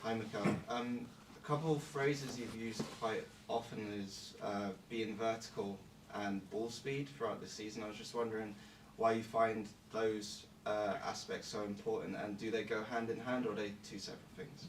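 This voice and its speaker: A journalist asking chatty, conversational questions at a press conference. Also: male voice, on the low side, fairly even intonation, normal speed, few pauses, echoey room, far from the mic, a little hiss or hum, poor audio.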